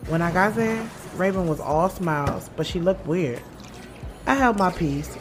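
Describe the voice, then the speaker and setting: A woman's voice: lowish voice, expressive, measured pace, noisy background. A woman playing a guarded, blunt young woman author in an audio drama, speaking frankly and with slangy sass about her love life. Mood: reflective, frank. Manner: candid, slangy, sassy. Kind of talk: first-person audio drama narration and dialogue. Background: music bed.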